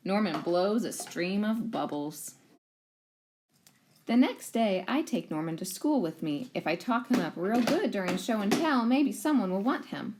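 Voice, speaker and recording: Woman, expressive read-aloud of a picture book for young children, teacher delivery. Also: medium pitch, measured pace, slight background noise. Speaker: teacher reading a storybook aloud.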